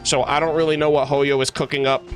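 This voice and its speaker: A man's voice: fairly high pitch, little pitch movement, quick pace. A man streaming, chatting casually and rambling as he thinks aloud to his viewers. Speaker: livestreamer, host. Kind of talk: casual livestream chat. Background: music.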